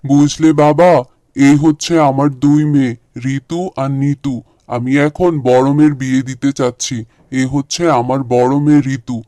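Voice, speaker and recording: A man's voice: mid-range voice, steady tone, unhurried, dark tone, no room sound, lightly acted. A man voicing a kindly, worried farmer father in a cartoon, explaining things soothingly. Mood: proud. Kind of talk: Bengali cartoon dubbing.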